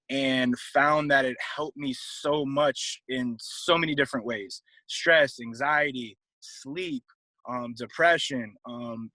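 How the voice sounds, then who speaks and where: Casting: young American man from Connecticut, fitness trainer, bright forceful tone, loose rambling guest chat. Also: medium pitch, dry recording, poor audio. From Connecticut, USA. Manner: rambling, many "um" and "you know" fillers. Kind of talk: casual interview talk.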